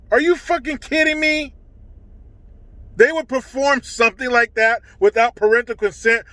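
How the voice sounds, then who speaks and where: A man's voice: high-pitched, sharp tone, no echo. A man hosting a vlog, giving casual, opinionated political commentary in a blunt, indignant, profane manner.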